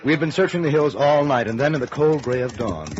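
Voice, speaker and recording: An actor playing a short, round older actor's agent in 1950s radio drama, shocked, effusive and theatrical. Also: male voice, medium pitch, a little fast, lightly acted. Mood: grave, dramatic. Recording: dull, faintly noisy vintage radio recording.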